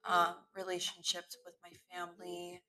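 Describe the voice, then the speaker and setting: Woman rambling solo on her podcast, kinda low voice, sometimes smooth sometimes raspy. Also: completely flat delivery, moderate pace, low-quality recording. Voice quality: voice shifts between smooth and raspy. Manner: rambling and candid, with restarts. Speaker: podcast host. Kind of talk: unscripted solo podcast monologue. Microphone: computer mic in web browser.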